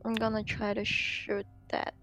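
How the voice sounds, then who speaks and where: A woman's voice: mid-range voice, completely flat delivery, a little slow, fairly bright tone, faint music behind. A woman playing a tabletop game, speaking hesitantly with ums and restarts. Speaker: player. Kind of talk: casual tabletop game chat.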